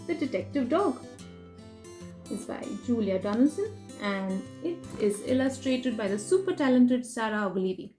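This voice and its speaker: A woman doing an expressive children's picture book read-aloud, playful in her emphasis, with chatty asides to her young listeners. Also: on the high side, normal speed, faint music behind. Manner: animated, sing-song rhyme reading with playful emphasis. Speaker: children's story reader and host.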